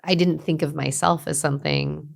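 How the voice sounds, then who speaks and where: A middle-aged woman, a guest talking reflectively in an interview, with many restarts and soft trailing endings. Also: low-pitched, steady tone, moderate pace, creaky. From Los Angeles, USA. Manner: thoughtful, many fillers and restarts, trailing softly. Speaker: guest, beauty brand founder.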